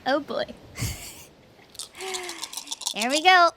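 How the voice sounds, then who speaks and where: Bright, giggly woman player. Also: high voice, lively intonation, drawn-out words, slight background noise. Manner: giggly, playful. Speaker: tabletop game player. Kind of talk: casual play chat.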